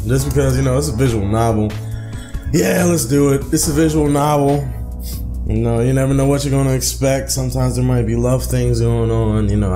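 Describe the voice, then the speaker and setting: A man's voice: fairly low pitch, rough recording. A man hosting a casual let's play, reading visual novel text aloud and talking in an informal, slangy way. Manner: informal, slangy asides between read-out passages. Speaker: gaming video host. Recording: music playing behind the voice. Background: music.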